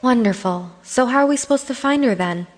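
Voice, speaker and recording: A woman's voice: on the high side, expressive, fairly quick. Woman acting a blunt teenage girl, reverberant sound. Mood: sceptical. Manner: blunt, scolding. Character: hot-tempered, sarcastic, no-nonsense teenage girl guardian. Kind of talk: anime fan dub acting. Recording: reverberant, faint noise and ambience.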